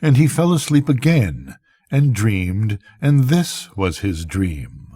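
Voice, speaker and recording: An older man reading a bedtime story as a grandfather, with measured, deliberate phrasing and pauses. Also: fairly low pitch, sing-song, dark tone, breathy edge, no echo, studio quality.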